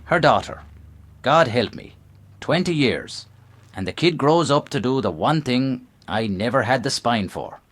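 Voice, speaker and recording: An actor playing a weary, haunted old police lieutenant in a 1930s noir radio drama, bitter and resigned. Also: male voice, mid-range voice, animated, unhurried, fairly bright tone. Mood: resigned, shaken. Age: older.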